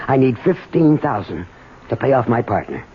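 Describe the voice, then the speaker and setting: A man's voice: mid-range voice, sing-song, normal speed, close to an everyday voice. An actor playing a desperate, bitter middle-aged working man with an American accent, stammering and pressing in a 1970s radio drama. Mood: desperate pleading. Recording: muffled, noisy 1970s radio recording.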